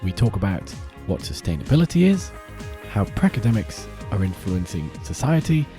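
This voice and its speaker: A man's voice: fairly low pitch, very animated, normal speed. Upbeat man host over music. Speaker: podcast host. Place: podcast studio.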